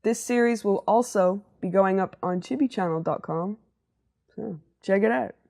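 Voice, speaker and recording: A woman's voice: medium pitch, normal speed, gravelly, warm tone. A woman hosting a show in a casual, enthusiastic way, talking to viewers and guests. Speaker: TV show host and interviewer. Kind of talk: casual presenting to camera.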